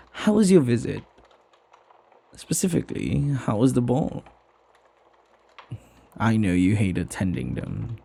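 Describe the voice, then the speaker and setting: Guy doing a flirty butler for asmr, slow n husky, doting on his master, formal wording. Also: on the low side, big pitch swings, warm tone, heavily acted. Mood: concerned, guilty. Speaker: ASMR roleplay voice actor. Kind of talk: ASMR roleplay acting.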